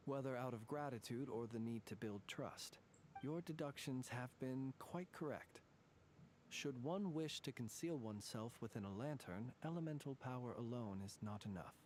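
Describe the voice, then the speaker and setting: Man voicing an ancient fae, male, game character, mid-pitched voice, formal and measured, hushed asides. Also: expressive, a little hiss or hum, natural voice. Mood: solemn. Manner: measured, formal, drops to near whispers. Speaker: lightkeeper guard. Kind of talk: video game dialogue.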